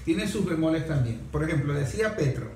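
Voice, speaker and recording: A man's voice: a little high, expressive, fairly quick, darkish tone. A Venezuelan man moderating a panel discussion, opinionated and fond of rhetorical questions. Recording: very echoey room, faint noise.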